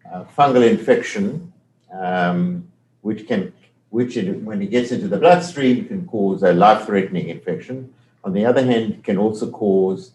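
An older man with a South African accent, a medical professor explaining things in an interview with measured, careful talk and frequent restarts. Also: on the low side, darkish tone, echoey room, low-quality recording.

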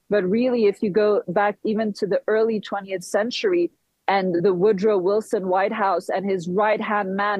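A woman from Switzerland giving live political commentary as a guest, speaking haltingly with frequent pauses. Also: mid-range voice, a little mellow, breathy edge, no echo, rough recording. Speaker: guest commentator reporting from a conference town.

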